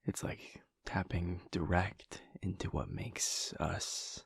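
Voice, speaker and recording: A man playing a gentle, earnest vampire lover in an intimate audio roleplay, speaking in a halting, stammering, breathy near-whisper. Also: fairly even intonation, dry recording, studio quality, exaggerated voice. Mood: thoughtful, hushed. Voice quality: breathy, gravelly low voice.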